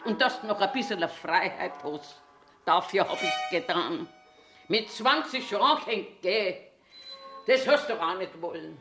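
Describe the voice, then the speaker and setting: Cranky, slow-talking old Bavarian washroom attendant. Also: female voice, medium pitch, lively intonation, over music. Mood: wistful reproach. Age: 80s. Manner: grumbling self-talk, swinging between scolding and muttering. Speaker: actor. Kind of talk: theatrical dialect monologue.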